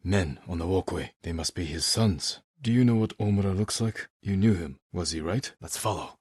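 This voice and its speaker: An actor playing a stern, honorable young samurai in a video game, speaking low and husky in a restrained, measured, terse way. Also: male voice, a little mellow, no echo, clean studio sound. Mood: low, wary. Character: stern, honorable young samurai warrior, weary but resolute. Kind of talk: dramatic video game acting.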